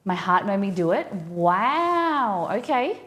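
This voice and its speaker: A woman's voice: mid-range voice, big pitch swings, takes it slowly, fairly bright tone. A woman with an Australian accent, hosting a casual cooking live stream and chatting informally with viewers as she thinks aloud.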